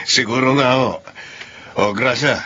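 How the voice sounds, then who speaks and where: An actor with a Filipino accent playing an honest, easygoing working husband in a radio drama. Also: male voice, medium pitch, slow, bright, forceful tone, slightly rough, full character voice. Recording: dull, slightly noisy drama audio.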